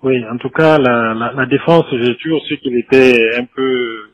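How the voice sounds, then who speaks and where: A man giving an informal briefing over the telephone, halting, repeating words and agreeing deferentially. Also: mid-range voice, no room sound. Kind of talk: informal briefing in a phone call. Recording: dull lo-fi phone-call recording.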